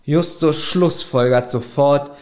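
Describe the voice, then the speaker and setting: A man's voice: fairly high pitch, takes it slowly, a little mellow. A man co-hosting a podcast in casual chat, keeps asking his partner questions and reads plot summaries aloud. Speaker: podcast co-host.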